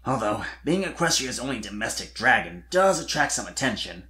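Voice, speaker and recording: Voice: mid-range voice, very animated, fairly quick, sharp tone. A performer voicing a shy, awkward young male dragon with a sheepish, hesitant manner in a cartoon fan story. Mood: wry, modest.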